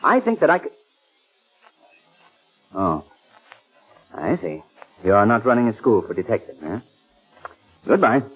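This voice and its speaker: An actor playing an urbane, confident gentleman amateur detective, suave and wry, in 1930s radio style. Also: fairly low pitch, big pitch swings, unhurried, pauses often. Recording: tinny, muffled old 1930s radio recording.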